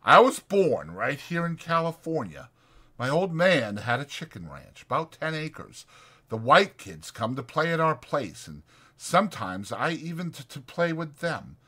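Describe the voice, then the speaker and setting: A man's voice: a little high, a little slow, voice pushed up, exaggerated voice. A man voicing a lonely, bitter, needling stable hand in a dramatized audiobook, with folksy, insistent, taunting talk. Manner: insistent, taunting, folksy, drawn into excited speeches.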